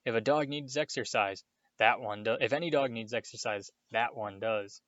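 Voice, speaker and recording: A man voicing a blunt, excitable schoolboy in a storybook, complaining in exasperation. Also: medium pitch, moderate pace, no echo, dull audio, natural voice. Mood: indignant.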